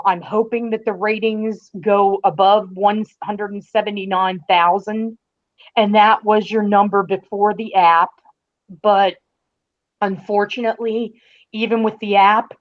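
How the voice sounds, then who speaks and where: A woman in a casual podcast discussion, explaining things emphatically as a guest critic and stretching out stressed phrases. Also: mid-range voice. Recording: dull, lo-fi call audio.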